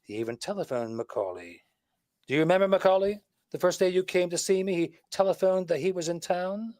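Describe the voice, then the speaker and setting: An actor playing a shrewd, wisecracking detective who explains things with confident emphasis, in a 1940s radio mystery style. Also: male voice, high-pitched, animated, a little fast, a little mellow, no room sound, clean studio sound. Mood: confident, explanatory. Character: shrewd, wisecracking male detective laying out a murder case.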